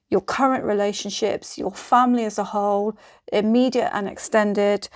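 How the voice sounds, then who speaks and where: A woman presenting informal instructional advice, speaking directly to the listener in an encouraging, reassuring manner. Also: medium pitch.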